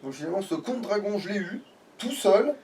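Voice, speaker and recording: A man's voice: high voice, animated, fairly quick, a little mellow. A man playing a video game and chatting casually about it, with crude, slangy language and swearing. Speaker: let's play gamer. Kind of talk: casual gaming chat. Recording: distant mic with faint background noise.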